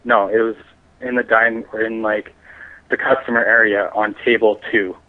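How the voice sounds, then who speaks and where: A man's voice: on the low side, flat delivery, moderate pace. A man answering questions over the telephone in a defensive, insistent manner. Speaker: prank call target. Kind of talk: answering questions on a phone call. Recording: muffled, narrow phone line.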